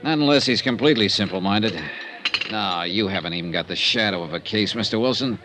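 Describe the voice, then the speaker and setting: An actor playing a shrewd, wry, hard-boiled insurance investigator in an old-time radio detective drama, reasoning skeptically. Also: male voice, lowish voice, a little fast, background noise, lightly acted. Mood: skeptical, dismissive.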